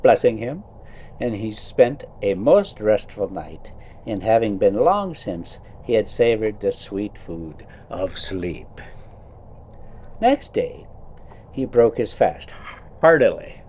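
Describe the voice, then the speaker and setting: Folksy man, animated but slow-paced. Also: on the low side, a little hiss or hum. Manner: folksy, colloquial, theatrical when reading. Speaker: vlog host reading a story aloud while driving. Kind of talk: casual story reading with reactions and asides. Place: inside a motorhome being driven.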